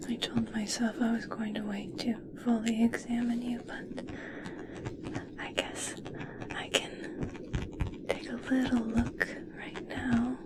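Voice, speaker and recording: A woman playing an obsessed, eccentric scientist in an ASMR roleplay, speaking intimately in a breathy whisper. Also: a little high, monotone, rough recording. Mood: admiring, fascinated. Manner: slow, intimate, wistful storytelling. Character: obsessed, eccentric female human scientist fascinated by merpeople.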